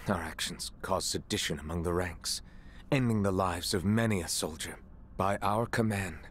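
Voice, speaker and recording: Deep, grave imprisoned nobleman. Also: slight background noise. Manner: formal, archaic, measured speech. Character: grave, remorseful imprisoned nobleman warrior, formal and resolute. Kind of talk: video game cutscene acting.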